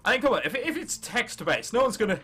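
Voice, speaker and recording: Young male gamer, English accent, animated swooping delivery, jokey and sweary, high voice, music behind. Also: fast talker, breathy edge. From England. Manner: jokey, sweary, self-interrupting. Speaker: gaming video host. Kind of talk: casual let's play commentary, reading game text aloud.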